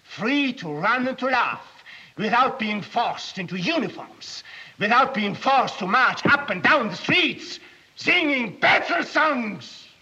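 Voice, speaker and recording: Dignified older émigré leader in a wartime film: slow, earnest, husky, dull vintage soundtrack. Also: male voice, very high voice, animated, bright, forceful tone, exaggerated voice. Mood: impassioned. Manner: deliberate, impassioned, dignified. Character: principled older German émigré community leader in Canada. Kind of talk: earnest speech in a 1940s film drama.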